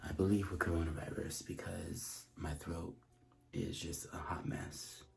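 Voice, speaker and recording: A man in his thirties, sick and hoarse, coughing and talking weakly into a phone he holds at arm's length in a small bedroom. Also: flat delivery. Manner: weak, low and halting. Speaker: vlogger. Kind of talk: casual self-recorded video diary. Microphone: phone held at arm's length.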